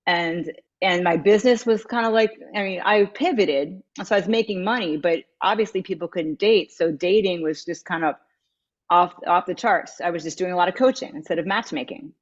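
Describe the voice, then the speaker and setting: A woman in her fifties speaks in a lower register, candid and reflective, her thoughts wandering before they land. Her voice arrives over a video call, with dull, muffled lo-fi sound. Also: moderate pace. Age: 50s. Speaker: guest, matchmaker and dating coach. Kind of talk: candid interview conversation.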